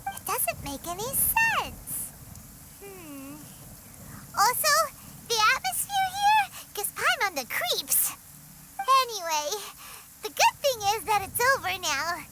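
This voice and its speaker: Tiny excitable fairy sidekick for a game: childlike, extremely high, unhurried. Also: female voice, very noisy, heavily acted. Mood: relieved, uneasy. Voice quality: squeaky, childlike. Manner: speaks of self in third person, exclamatory. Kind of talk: animated video game voice acting. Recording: music behind the voice.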